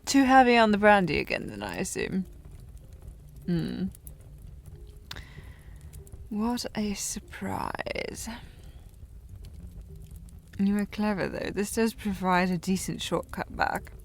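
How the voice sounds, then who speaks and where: Woman doing a drunk-ish posh young lady from a period drama, slow halting rambly talk, haughty and teasing, medium pitch. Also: animated, vocal fry, slight background noise. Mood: musing, tipsy. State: playing slightly drunk. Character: tipsy, haughty, teasing young historical noblewoman at her party. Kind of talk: scripted audio roleplay, period drama.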